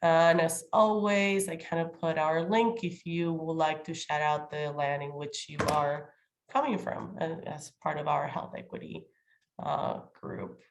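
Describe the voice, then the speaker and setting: Slightly nasal, lower-voiced woman chairing. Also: fairly even intonation, measured pace. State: getting over a cold. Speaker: committee chair. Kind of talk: opening and leading a formal online meeting. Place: remote video call.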